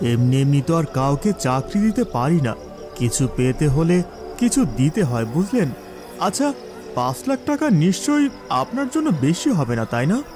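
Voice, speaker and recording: A man playing a high-pitched, sleazy bullying boss. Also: big pitch swings, moderate pace, over music. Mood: sly, oily. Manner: condescending, oily, scolding. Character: sleazy, arrogant, bullying male boss taking a job interview. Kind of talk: Bengali animated thriller acting.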